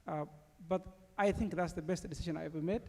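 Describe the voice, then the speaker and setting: Somali man, think tank panelist, gravelly and halting, reverberant hall. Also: high voice, fairly even intonation, darkish tone. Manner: halting, many fillers. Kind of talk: personal panel statement. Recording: long reverb of a large hall.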